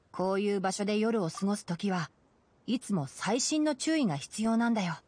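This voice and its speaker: A woman voicing a gentle, studious young male forest ranger in an anime video game, calm and instructive with a dry wit. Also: mid-range voice, no echo, natural voice. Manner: calm, instructive, softly matter-of-fact.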